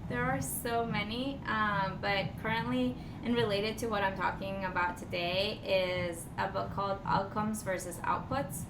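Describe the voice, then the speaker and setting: A woman's voice: medium pitch, fairly even intonation, measured pace, hardly any pauses. A woman from Costa Rica answering interview questions casually as a podcast guest, with thoughtful, hesitant phrasing. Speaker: podcast guest, design strategist. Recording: distant mic, echoey, clearly noisy background.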